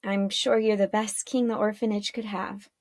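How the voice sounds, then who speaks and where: A woman's voice: mid-range voice, level tone, moderate pace, no echo, clean studio sound, natural voice. Woman playing a tender, emotional long-lost mother. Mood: tender, sorrowful. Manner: gentle, emotional, earnest.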